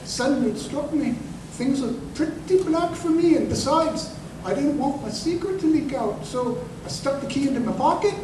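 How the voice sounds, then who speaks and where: A man playing an aging, crippled, humble ex-soldier turned conjurer in a radio drama, with halting, rustic, impassioned speech. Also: very high voice, expressive. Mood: urgent confession. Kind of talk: old-time radio drama acting. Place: live performance in a museum. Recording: roomy, distant, noisy live stage recording.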